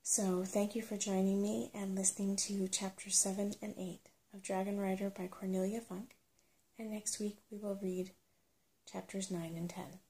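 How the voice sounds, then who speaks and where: A woman reading a story aloud as a narrator in a soothing, measured ASMR style. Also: medium pitch, little pitch movement, warm tone, low-quality recording.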